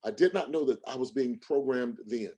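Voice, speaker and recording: A man's voice: mid-range voice, normal speed, very noisy. A man giving a motivational sales talk on a livestream as a coach, speaking emphatically with preacher-like repetition and rhetorical questions.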